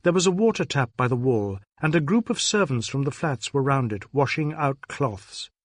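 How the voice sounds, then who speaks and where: A man's voice: mid-range voice, lively intonation, normal speed, no room sound. A man reading a detective novel aloud as a dramatic audiobook narrator.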